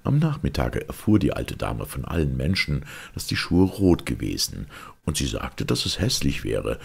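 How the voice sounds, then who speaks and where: A man with an extremely low voice reads a fairy tale aloud. His tone is rough and husky, crackling with fry, and he tells the story with dramatic emphasis. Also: a bit bright. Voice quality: gravelly, husky. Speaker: audiobook narrator.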